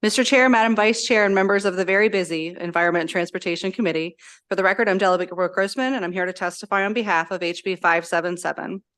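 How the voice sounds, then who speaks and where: A woman's voice: medium pitch, fast, a bit bright. A woman legislator giving earnest, formal prepared testimony at a committee hearing, speaking into a desk microphone. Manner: earnest, reads steadily from prepared remarks.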